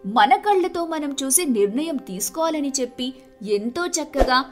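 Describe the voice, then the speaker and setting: A woman's voice: high voice, lively intonation, normal speed, over music. A woman narrating a scripted moral story as the storyteller.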